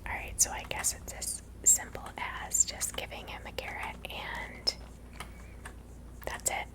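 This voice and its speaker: A woman whispering ASMR video game commentary in a breathy voice, softly musing to herself. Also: a little slow. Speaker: video game player and streamer. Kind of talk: whispered ASMR gameplay commentary with reading aloud.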